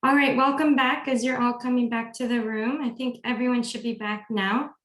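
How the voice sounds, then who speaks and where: Woman, fairly high pitch, group training facilitator, polite instructions, online call, echoey room sound. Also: fairly even intonation, normal speed, fairly bright tone. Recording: roomy, echoey video call audio.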